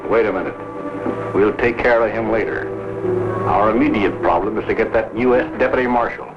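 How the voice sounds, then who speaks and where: An actor playing a scheming, wordy small-town mayor in a 1950s TV western. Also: male voice, fairly low pitch, a little fast, over music, close to an everyday voice. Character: smooth-talking, scheming small-town frontier mayor, outwardly grateful. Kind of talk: 1950s television western acting. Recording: dull, faintly noisy vintage TV soundtrack.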